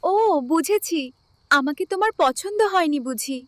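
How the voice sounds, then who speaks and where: A woman's voice: extremely high pitch, animated, moderate pace, a bit bright, no echo. A woman voicing an affectionate, easily hurt young village bride in a cartoon fairy tale. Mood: hurt, questioning.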